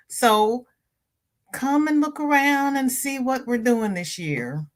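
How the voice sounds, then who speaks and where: Stuffy-nosed woman chatting on a livestream. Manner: chatty, greets viewers by name with high bright lifts. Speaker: crochet channel host. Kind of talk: casual livestream chat, reading viewer comments.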